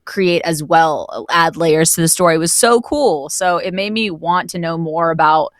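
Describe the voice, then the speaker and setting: A woman's voice: fairly low pitch, moderate pace, no room sound, studio quality. A woman speaking casually and effusively as a guest in an interview. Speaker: guest, voice actor who narrated the project.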